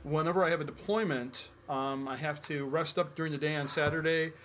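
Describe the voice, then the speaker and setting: A chatty, teasing man cohosts a laid-back podcast. His voice sits a little on the high side. A faint hiss runs under the recording. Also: steady tone, fairly quick, fairly bright tone. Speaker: podcast co-host. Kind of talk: casual chat.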